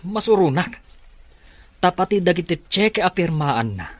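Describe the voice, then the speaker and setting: An actor playing a scheming, power-hungry villain in an Ilocano radio drama, with an Ilocano accent. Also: male voice, sits high, big pitch swings, a little slow, no echo, full character voice. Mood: menacing, frustrated.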